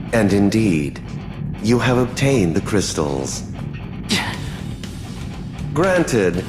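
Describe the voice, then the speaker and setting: An actor voicing a grandiose, scheming, power-hungry villain in a video game, pompous and theatrical with a sneering delivery. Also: male voice, on the low side, big-room sound. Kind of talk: dramatic video game voice acting.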